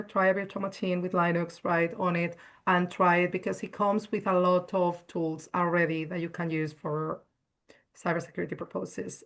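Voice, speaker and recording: A woman's voice: mid-range voice, fairly bright tone. A woman from Spain with a Spanish accent, answering questions on an online panel in a chatty, enthusiastic way, often tagging on "right?". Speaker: cybersecurity analyst guest.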